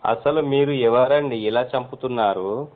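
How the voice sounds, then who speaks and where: A man's voice: mid-range voice, a little slow. Deferential male reporter on a tinny phone line. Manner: deferential, repeatedly saying madam. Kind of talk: phone interview. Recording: noisy, tinny phone call recording.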